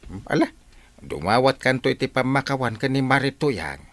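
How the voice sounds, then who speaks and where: A man's voice: mid-range voice, sing-song, moderate pace, fairly bright tone, no echo. A man playing a folksy village captain who hems and gives advice in a radio comedy drama, with an Ilocano accent. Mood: counselling, reassuring.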